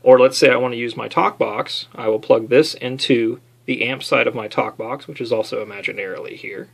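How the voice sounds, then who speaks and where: A man's voice: mid-range voice, a little fast, vocal fry, breathy edge. A man talking casually through a hands-on tutorial, unscripted and lightly self-deprecating. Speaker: DIY video maker demonstrating an amp modification.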